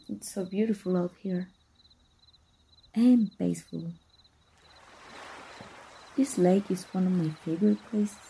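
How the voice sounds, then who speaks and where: Casting: woman to play a young, self-reliant princess, Mexican accent, very relaxed dark tone, slow delivery, playful and tender, for romantic ASMR roleplay. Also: lowish voice, vocal fry. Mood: serene, dreamy. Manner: teasing, gentle, bashful hesitations. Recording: noisy outdoor nature ambience.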